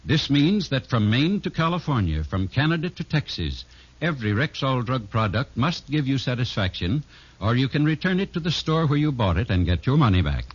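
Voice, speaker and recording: Husky male 1950s radio announcer. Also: medium pitch, big pitch swings, a little fast. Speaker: sponsor announcer speaking as druggist. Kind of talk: scripted 1950s radio commercial narration. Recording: dull, muffled 1950s radio recording.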